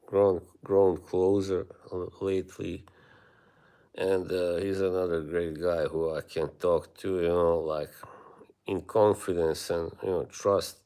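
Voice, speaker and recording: A man from Bosnia with a Bosnian accent, chatting casually with viewers on a live stream, rambling and halting with many fillers. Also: low voice, a little mellow, clean tone.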